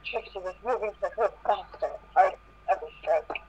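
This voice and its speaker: A woman's voice: mid-range voice, a little fast, darkish tone, husky, muffled recording. A woman reading a story aloud as an amateur, stumbling over and repeating words and adding asides. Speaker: reader in a group fan fiction reading.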